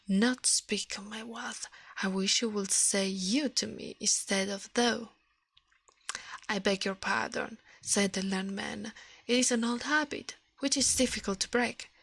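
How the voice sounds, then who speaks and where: A woman reading a story aloud in ASMR style, in a soft breathy whisper, halting now and then over the words. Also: mid-range voice, no room sound. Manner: halting reading with stumbles and self-corrections.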